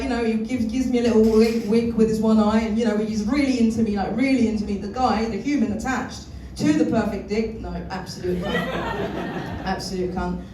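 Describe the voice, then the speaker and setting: Crude London woman doing stand-up. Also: fairly high pitch. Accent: London English. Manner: crude, loose, chatty, swears a lot. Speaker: stand-up comedian. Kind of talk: stand-up comedy set. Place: comedy club stage with live audience. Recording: echoey club room, mic at a distance, audible room noise.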